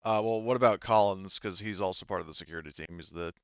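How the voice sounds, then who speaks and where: A man's voice: sits low, fast talker, dry recording, highs cut off. A man casually narrating a tabletop game as game master, rambling and thinking aloud as he goes.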